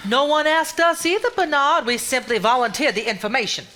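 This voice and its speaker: A woman's voice: high-pitched, lively intonation, a little fast, pushed and bright. A woman acting a tart, no-nonsense woman with a dry, cutting manner in a live stage play. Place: theater stage before a live audience.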